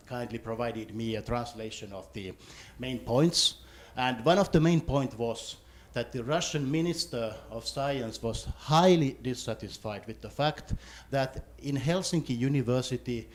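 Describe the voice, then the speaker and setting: A mid-pitched man speaks up from the audience. He lays out his point in a deliberate, measured way. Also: darkish tone. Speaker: audience member asking a question. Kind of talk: question from the floor.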